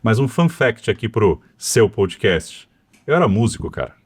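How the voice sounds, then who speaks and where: Man, São Paulo accent, middle-aged, slightly low voice, conversational storyteller. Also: very animated, normal speed, slightly rough, no room sound. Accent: Brazilian Portuguese, São Paulo. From Brazil. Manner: fluent, conversational storytelling with frequent "né" tags. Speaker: cybersecurity executive and guest. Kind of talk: podcast interview.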